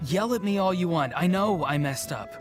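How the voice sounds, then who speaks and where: A man's voice: sits high, expressive, moderate pace. A man playing a young rebellious grandson in a video game, hesitant and earnest with a dry edge. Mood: earnest, contrite. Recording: music clearly playing behind the voice.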